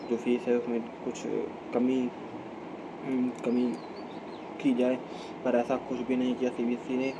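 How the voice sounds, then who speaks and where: An Indian man giving an informal explainer as a video host, halting and repeating himself as he corrects his phrasing. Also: mid-range voice. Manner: halting, repetitive phrasing with frequent self-corrections. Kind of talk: informal explainer talk to camera. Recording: clear steady background hum and ambient noise.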